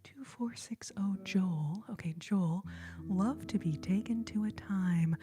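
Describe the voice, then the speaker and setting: A woman who sings on a live stream, whispering softly and playfully as she chats with her viewers. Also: medium pitch, slow pace.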